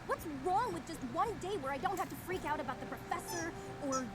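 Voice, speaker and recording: An actress voicing a brash, sassy teenage mutant girl in an animated series, talking in snappy, sarcastic teen slang. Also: very high-pitched, fairly quick, heavy background noise. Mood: annoyed. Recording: cartoon clip with loud music.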